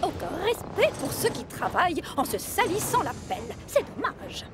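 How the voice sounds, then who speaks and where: A woman voicing an excitable, boastful female steam shovel in a children's cartoon, with a gushing, theatrical storytelling manner. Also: very high-pitched, moderate pace, over music. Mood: miffed. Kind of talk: animated children's cartoon acting.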